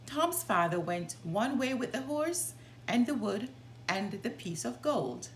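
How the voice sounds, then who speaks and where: A woman reading a children's story aloud as a storytime host, with expressive, deliberate delivery. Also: fairly high pitch, slight background noise.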